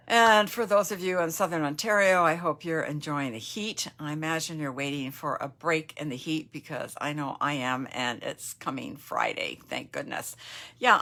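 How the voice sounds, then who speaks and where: A Canadian woman with a slightly low voice leads a casual crafting live stream while getting over a cold, so a small cough lingers. Her tone is bright and forceful, and she chats with viewers in a friendly, rambling way, full of fillers and little asides. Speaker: papercraft demonstrator.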